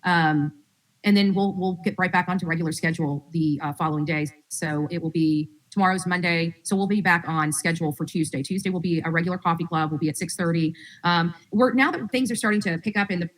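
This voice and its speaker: Female livestream host, lowish voice, quick informal rambling, recorded away from the mic. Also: far from the mic, low-quality recording. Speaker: true-crime livestream host. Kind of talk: casual livestream update to audience.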